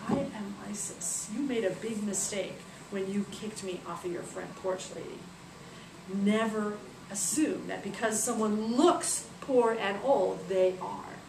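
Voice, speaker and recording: Stern storybook goddess, played by a female narrator, righteous and deliberate, echoey distant pickup. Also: medium pitch, background noise, natural voice. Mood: grave, commanding. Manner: deliberate, admonishing.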